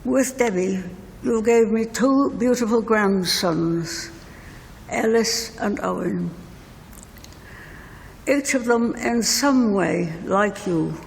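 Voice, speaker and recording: An older woman reading a prepared eulogy letter as a bereaved mother, in measured, deliberate phrases. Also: mid-range voice, gravelly. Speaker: bereaved mother giving a tribute. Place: inside a church at a funeral service. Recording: reverberant church hall, faint noise.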